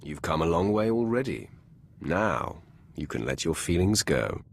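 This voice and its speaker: Casting: cold game villain master, self-assured and terse, gravel in the voice, widely swinging intonation. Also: male voice, low-pitched, very animated, measured pace, full character voice. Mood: smug, suggestive. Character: commanding male Sith lord, cold, domineering master. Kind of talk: dramatic video game voice acting.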